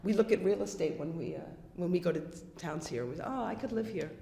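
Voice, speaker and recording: A woman from the United States, a band member, telling stories in a casual interview while slightly hoarse from losing her voice. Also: lowish voice, fast, background noise. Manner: chatty storyteller, many fillers and restarts. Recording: echoey room.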